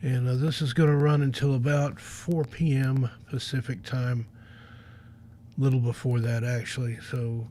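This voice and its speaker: A man's voice: medium pitch, warm tone. A man hosting a radio livestream, chatting casually in a rambling, off-the-cuff way. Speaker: radio host.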